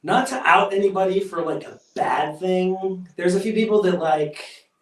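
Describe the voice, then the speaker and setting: Echoey, distant man, higher-voiced and excitable. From United States. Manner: excitable, halting, slangy. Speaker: band drummer, interview guest. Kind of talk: casual rambling interview chat. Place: echoey room. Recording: echoey room, distant from mic.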